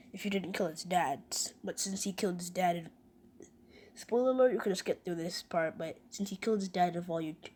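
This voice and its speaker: A woman hosting a reaction video with a cold and sore throat, chatting casually in a rambling way with many restarts. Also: fairly low pitch. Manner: rambling, many restarts, excited fun facts. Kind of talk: casual chat.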